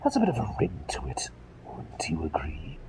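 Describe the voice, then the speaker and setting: A man performing a whispered ASMR roleplay as a gentle, eccentric plague doctor, with courteous old-fashioned phrasing and a dithering, repetitive way of talking. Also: sits low, wildly swooping pitch, moderate pace, a little hiss or hum, low-quality recording. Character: gentle, eccentric, absent-minded male plague doctor, polite and chatty.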